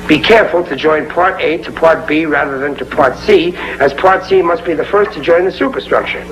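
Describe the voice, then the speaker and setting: An actor playing a bumbling, overconfident middle-aged American husband with uneducated working-class grammar, in a 1950s sitcom. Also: male voice, a little high, fairly quick, a little hiss or hum, natural voice. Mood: confident. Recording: muffled old TV soundtrack with music.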